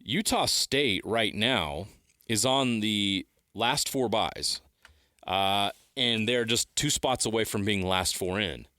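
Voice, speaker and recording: A man's voice: on the low side, takes it slowly, bright, forceful tone, no room sound. A man co-hosting a sports talk show, explaining things casually and repeating words for emphasis, on a close studio mic. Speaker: sports radio co-host. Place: radio studio. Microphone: close studio microphone.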